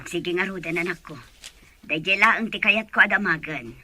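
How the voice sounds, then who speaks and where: A woman's voice: fairly low pitch, normal speed, bright, forceful tone. A woman acting a questioning middle-aged mother with a Filipino accent in an Ilocano audio drama. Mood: confiding.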